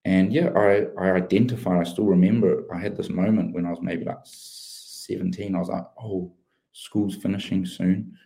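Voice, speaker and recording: A man's voice: sits low, fairly even intonation, moderate pace, gravelly, mellow. A man, a former rugby player, telling his life story earnestly in an interview. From New Zealand. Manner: earnest, open, many restarts and fillers. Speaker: podcast guest, former pro rugby player.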